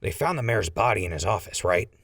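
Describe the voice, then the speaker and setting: A man playing a wry, scheming ghoul who leads a crew, smooth-talking and gravelly, in a post-apocalyptic audio drama. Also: sits low, animated, quick pace, fairly bright tone, no room sound, clean studio sound, full character voice. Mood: coaxing.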